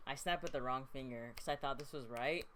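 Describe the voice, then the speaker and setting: A woman's voice: very deep voice, a little fast, over a noisy crowd. A young woman co-hosting a let's play, reading game text aloud and chatting with friends in a playful, giggly way.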